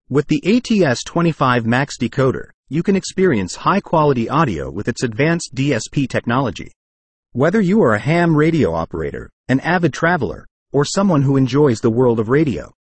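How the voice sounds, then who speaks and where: Male review narrator: husky, lively intonation, formal scripted explainer read. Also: mid-range voice, no echo.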